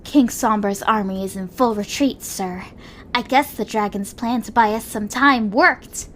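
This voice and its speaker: A woman's voice: mid-range voice, a little slow, fairly bright tone, slight background noise, natural voice. A woman playing a dutiful pony guard corporal in a fan audio drama, addressing a superior formally. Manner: formal, deferential military address. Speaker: voice actor.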